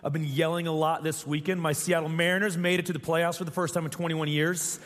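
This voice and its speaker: Scratchy young pastor guy preaching, kinda high voice, earnest, big echoey church hall. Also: little pitch movement, fast, fairly bright tone. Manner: earnest, repeats phrases for emphasis. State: scratchy, strained voice. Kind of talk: sermon. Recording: long reverb from a church hall.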